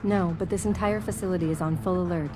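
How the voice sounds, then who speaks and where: A woman's voice: fairly low pitch, steady tone, fast, over music, close to an everyday voice. A woman voicing a tough female secret agent in a video game, dry and terse. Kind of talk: video game cutscene voice acting.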